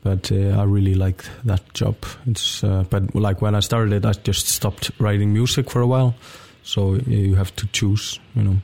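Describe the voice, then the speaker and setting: A man's voice: lowish voice, little pitch movement, moderate pace, vocal fry, hardly any pauses, darkish tone. A young man, a band member guesting on a radio interview, talking casually with lots of fillers and restarts. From Portugal. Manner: hesitant, many fillers and restarts.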